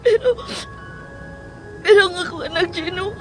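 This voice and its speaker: Timid pleading young mom, super high voice, filipino accent, radio soap with music under it. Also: female voice, normal speed. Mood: pleading, tearful. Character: pleading, timid young mother longing for her baby. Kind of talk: Filipino radio drama acting.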